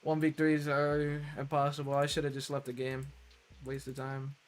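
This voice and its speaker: A man's voice: medium pitch, completely flat delivery, normal speed, soft background music. A man doing live gaming commentary as a streamer, blunt and slangy, cursing casually and grumbling. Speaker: game streamer.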